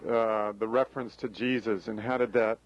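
A man's voice: fairly low pitch, fairly even intonation, moderate pace. Male radio interviewer, muffled sound. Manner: brief backchannel, conversational questions. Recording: dull radio broadcast.

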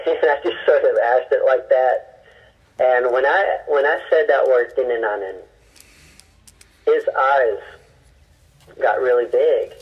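A man who is a missionary tells a personal story in an interview, earnestly and haltingly, often restarting his sentences. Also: medium pitch, slightly rough, muffled recording.

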